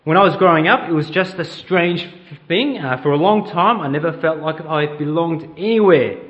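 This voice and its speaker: A man's voice: fairly high pitch, moderate pace, highs cut off. A middle-aged man with an Australian accent, preaching a conversational sermon in church and asking the congregation questions. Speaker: pastor.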